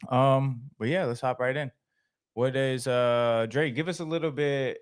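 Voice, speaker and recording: Young man, podcast host, casual storytelling, laid-back, mid-pitched voice. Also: steady tone, measured pace, smooth. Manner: laid-back, storytelling, frequent laughs and fillers.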